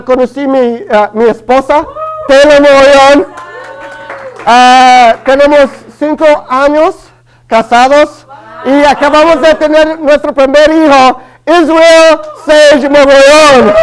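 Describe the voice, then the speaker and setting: A man in his early thirties preaches with a Chicano accent, his voice sitting very high for a man. He talks hesitantly, filling pauses and restarting his sentences as he goes. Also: rough recording. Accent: Chicano Spanish. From Los Angeles, family from Guatemala. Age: early 30s. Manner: hesitant, many fillers and restarts. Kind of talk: Spanish-language sermon.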